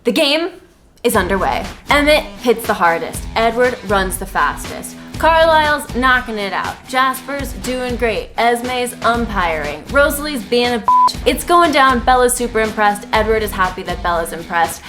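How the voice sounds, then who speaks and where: A woman's voice: on the high side, animated, moderate pace, over music. A woman co-hosting a podcast, giving a casual comedic book recap and acting out the characters in exaggerated, jokey voices. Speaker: podcast co-host.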